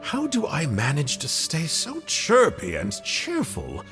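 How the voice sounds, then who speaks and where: An actor playing a chirpy, cheeky villain mage in a video game, chatty, theatrical and mock-polite. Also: male voice, on the high side, wildly swooping pitch, slow pace, exaggerated voice. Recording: loud background music over voice. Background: music.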